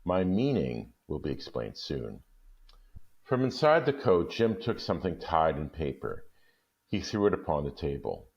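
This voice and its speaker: A man narrating a story aloud, expressive and lively in pitch. His voice sits very low with a gravelly texture. The recording is dull and lo-fi. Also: a little slow. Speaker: story reader.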